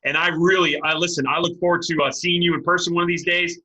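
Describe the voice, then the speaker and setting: A man hosting a casual interview, speaking earnestly to viewers in a halting, repetitive way with emphatic appeals. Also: on the high side, little pitch movement, bright, forceful tone, low-quality recording. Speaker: host, sports recruiting coach.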